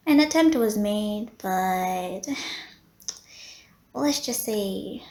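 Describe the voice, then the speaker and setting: Woman acting a genteel, slow-speaking vampire lady, mellow and roomy. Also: mid-range voice. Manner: genteel, courteous, teasing. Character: polite, flirty female vampire running a blood bank, playful and long-suffering. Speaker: medical center receptionist. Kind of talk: roleplay audio acting, addressing the listener.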